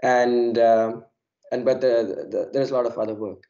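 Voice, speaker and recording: A hoarse, unwell man giving an academic lecture over a video call, halting with many fillers and repeated words. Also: mid-range voice, steady tone, warm tone, muffled recording. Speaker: plenary speaker.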